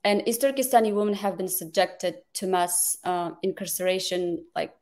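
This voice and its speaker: Halting woman from Kazakhstan. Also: on the low side, darkish tone. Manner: halting, many fillers and restarts. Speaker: guest advocate. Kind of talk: interview answers.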